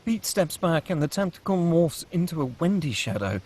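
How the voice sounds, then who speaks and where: A man with a husky voice reading scripted screenplay action as the narrator of a table read. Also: a little high, big pitch swings, moderate pace, a little mellow.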